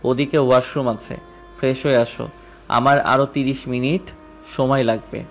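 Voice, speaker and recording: A man with a Bangladeshi accent playing a calm, serious doctor who gives curt, composed instructions in an audio romance. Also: medium pitch, normal speed, close to an everyday voice. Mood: calm, firm. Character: calm, serious male heart doctor, terse and commanding. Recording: music under the voice.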